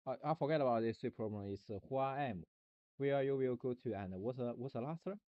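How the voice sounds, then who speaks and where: A man streaming a rambling philosophical monologue, halting and searching for words, often restarting and repeating himself. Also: medium pitch, dry recording, lo-fi sound.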